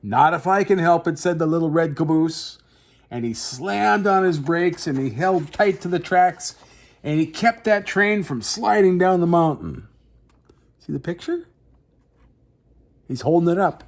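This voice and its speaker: A man reads a children's picture book aloud as the host of story time. His voice is slightly high and very animated, with dramatic, drawn-out phrasing aimed at young listeners.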